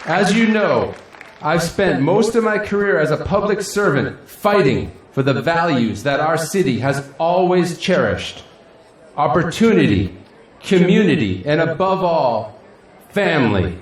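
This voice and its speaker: A man acting a middle-aged, self-assured city politician in an audio drama, folksy and grandiose, with dramatic pauses. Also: on the high side, animated. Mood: rousing, resolute. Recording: echoey hall, faint crowd and noise.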